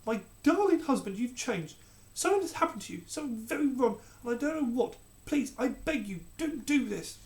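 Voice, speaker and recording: A narrator voicing a distraught queen accused of treason, pleading in a high, breathless voice. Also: big pitch swings, moderate pace. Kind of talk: storybook character reading.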